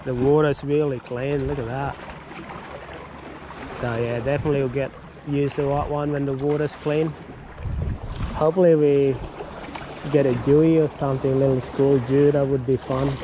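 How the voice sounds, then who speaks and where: A man's voice: mid-range voice, moderate pace, pauses often. Man fishing off a breakwall. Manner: excited bursts and whoops when a fish bites. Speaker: fishing vlogger. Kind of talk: casual running commentary while fishing. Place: outdoors on a rocky breakwall by the sea. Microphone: head-mounted action camera. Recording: outdoor wind and water noise.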